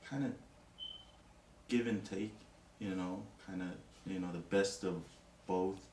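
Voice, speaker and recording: A man from Tonga speaks in a low, deep voice. He talks in a casual, reflective way and often searches for words with "you know". He is recorded from a distance in an echoey room, with faint noise behind him. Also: steady tone, unhurried, a little mellow. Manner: thoughtful, many "you know" fillers. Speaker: interviewee.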